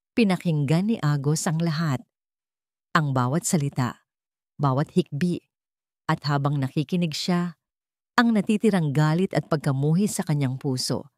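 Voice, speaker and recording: A woman narrating a dramatic scripted story in an emotive, measured storytelling manner. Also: deep voice, a little mellow, no room sound, studio quality.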